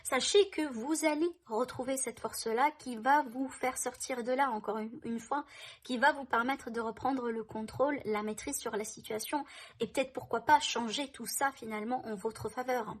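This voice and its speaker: A woman's voice: fairly high pitch, moderate pace. Woman giving a chatty tarot reading. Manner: reassuring, many fillers like hein and d'accord. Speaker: tarot reader. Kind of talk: tarot card reading for viewers.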